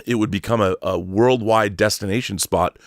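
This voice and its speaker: A man giving a casual, opinionated monologue as a YouTube host, speaking bluntly and haltingly, often repeating words for emphasis. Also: on the low side, no room sound, studio quality. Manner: blunt, sweary.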